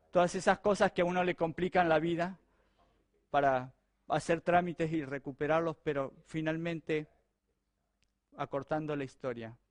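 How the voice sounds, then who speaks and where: High-voiced Argentine preacher telling a story. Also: male voice, no room sound. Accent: Argentine Spanish. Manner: animated, conversational storytelling to a congregation. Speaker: pastor. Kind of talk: sermon testimony.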